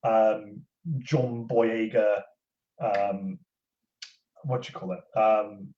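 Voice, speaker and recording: A man chatting casually on a podcast in a hesitant, wordy, measured way. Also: on the low side, little pitch movement. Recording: dull, lo-fi audio.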